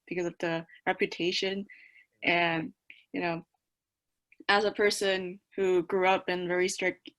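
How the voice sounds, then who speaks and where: A woman's voice: on the low side, fairly bright tone. Young woman from South Korea on video call. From South Korea, moved to the US at eleven. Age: early twenties. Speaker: college student being interviewed. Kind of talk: casual interview answers. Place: small bedroom, indoors.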